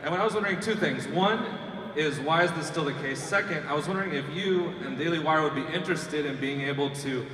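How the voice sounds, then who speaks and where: A man who grew up in Peru asking a speaker a question from the audience, talking quickly with many fillers. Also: a little high. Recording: roomy hall, crowd audible behind.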